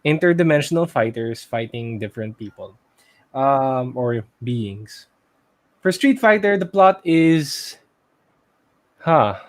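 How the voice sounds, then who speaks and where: A man with a Filipino accent co-hosting a casual podcast, talking loosely and thinking aloud with pauses. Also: medium pitch, expressive. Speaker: podcast co-host. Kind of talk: casual pop culture podcast chat.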